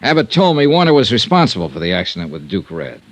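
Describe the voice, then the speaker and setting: An actor playing a hard-boiled, sharp male insurance investigator in 1950s radio drama, clipped and wry. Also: lowish voice, huge pitch swings, fast talker, dry recording, full character voice. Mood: probing, dry. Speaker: star of a radio detective series.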